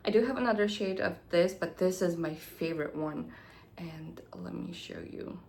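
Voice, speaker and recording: A young woman vlogging about her beauty favorites, nasal and congested from a cold, talking quickly and sometimes dropping to a near-whisper. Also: on the low side, creaky, darkish tone, slight background noise. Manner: chatty, hurried, drops to near-whispers at times. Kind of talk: casual product review to camera.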